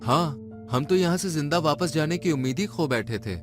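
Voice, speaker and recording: A man voicing a worried, fearful young space explorer in an animated story. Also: a little high, moderate pace, soft background music, close to an everyday voice.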